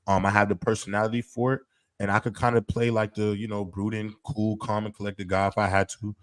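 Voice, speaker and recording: A man's voice: fairly low pitch, flat delivery, a little fast, no room sound. A man co-hosting a podcast, talking casually and emphatically with slang and lots of "um". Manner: emphatic, slangy, filler-heavy. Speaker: podcast co-host. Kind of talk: casual chat.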